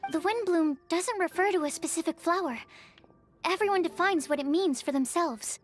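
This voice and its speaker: A woman's voice: extremely high pitch, moderate pace. A woman playing a shy, studious young female alchemist in a video game, speaking in a hesitant, careful, analytical way. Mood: earnest, pensive. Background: music.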